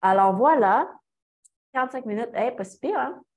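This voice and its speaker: A woman's voice: fairly high pitch, expressive, moderate pace. A young woman with a Québécois French accent, giving a casual talk and answering questions on a video call, chatty, full of fillers and restarts. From Quebec. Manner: chatty, many fillers and restarts, laughs easily. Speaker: guest speaker, cyclist. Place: small office in front of a banner. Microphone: laptop webcam video call.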